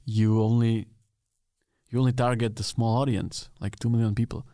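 A Czech man speaking English as his second language, with a clear Czech accent and a middle-pitched voice. He talks haltingly, restarting and repeating words as he hosts a casual podcast chat. The recording is dry and close. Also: little pitch movement, warm tone, no echo. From Czech Republic. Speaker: podcast host. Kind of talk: casual interview conversation.

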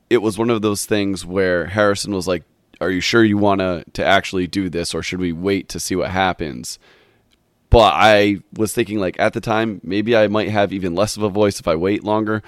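A young man with a very low, slightly nasal voice talks loosely into a dry, close mic. He is still getting over a cold that took part of his voice. His talk wanders along with plenty of fillers. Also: fairly even intonation, normal speed, no echo. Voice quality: a little nasal. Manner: rambling, many "like" fillers. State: recovering from a cold, voice partly lost. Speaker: podcast host. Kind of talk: solo podcast intro, casual chat.

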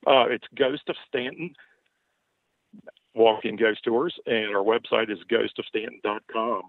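An older man with a light Southern accent talks in a low, gravelly voice, folksy and rambling as he answers questions. His voice comes over a tinny, phone-like line with a dry sound. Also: steady tone, measured pace, no room sound. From Baltimore, Maryland. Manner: folksy, rambling, chuckles often. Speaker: ghost tour owner. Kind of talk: podcast interview over a call.

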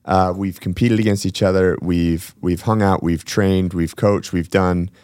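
A man's voice: low-pitched, steady tone, unhurried, darkish tone, smooth, no echo, clean studio sound. A man hosting a podcast, interviewing a friend in a laid-back, teasing way. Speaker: podcast host. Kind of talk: casual interview.

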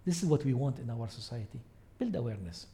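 A man's voice: mid-range voice, expressive, fast talker, dark tone, husky. A man, an Arabic speaker, giving opinions in conversation and emphatically repeating key phrases. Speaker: interview guest.

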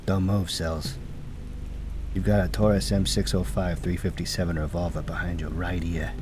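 A man's voice: deep voice, little pitch movement, normal speed, noisy background, natural voice. A man playing a cocky, exasperated hard-boiled cop in a noir audio drama, sardonic and streetwise with punchy delivery. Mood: cool, threatening.

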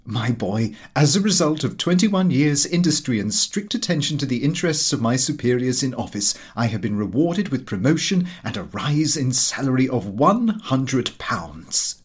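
A man's voice: mid-range voice, sing-song, moderate pace, few pauses, husky. A man playing a pompous, earnest middle-aged clerk and disapproving father in an audiobook. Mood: proudly pompous.

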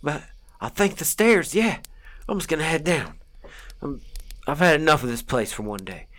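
A man's voice: on the high side, sing-song, normal speed, a bit bright. A man acting a rattled, wary field agent, muttering terse fragments of an eerie horror log. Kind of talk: dramatic reading of a horror log.

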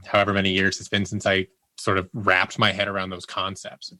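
A man's voice: sits low, monotone, a little fast, bright, forceful tone, no echo, poor audio. A man speaking as a podcast guest, telling personal anecdotes in a candid, casual way. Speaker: podcast guest, audio producer.

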